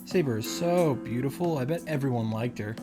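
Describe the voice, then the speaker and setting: Low-voiced sardonic woman, music behind. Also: a little fast. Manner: sardonic, profane asides. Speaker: visual novel reaction host. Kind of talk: casual sarcastic commentary and reading aloud over a game.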